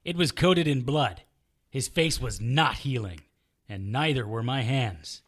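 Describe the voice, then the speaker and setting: A man's voice: mid-range voice, measured pace, close to an everyday voice. A man playing a battered, wisecracking private investigator in an audio drama, narrating in a sardonic, hard-boiled manner. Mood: tense narration.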